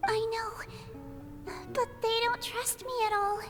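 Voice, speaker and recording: A woman's voice: very high-pitched, level tone, slow. An actress voicing a tiny, cute, earnest girlish creature in a game dub, with a childlike, inquisitive manner. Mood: worried, earnest. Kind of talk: English dub game voice acting. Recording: game audio with loud music behind.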